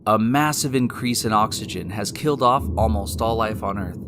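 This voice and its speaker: A man hosting and narrating a scripted science story in a deliberate, explanatory way, speaking directly to the listener. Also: on the low side, background noise. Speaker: podcast host and narrator. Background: music.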